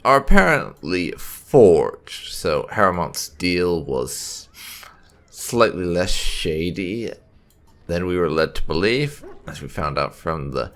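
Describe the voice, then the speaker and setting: Low-voiced man with slow, swinging delivery. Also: very animated, a bit bright. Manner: halting, explanatory, thinking aloud. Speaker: video game playthrough host. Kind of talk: unscripted let's-play commentary.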